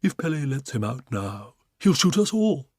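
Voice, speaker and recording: A man's voice: medium pitch, animated, normal speed, darkish tone, breathy edge, no room sound, studio quality. A man voicing an eager, polite giraffe who urges others on. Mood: urgent.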